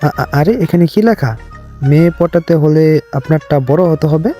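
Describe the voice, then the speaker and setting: A man's voice: a little high, steady tone, normal speed, mellow, faint music behind, lightly acted. A man voicing a hapless, easily flustered young male ninja in a comic anime parody dub, speaking colloquially with stammers and exclamations. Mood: puzzled, frustrated.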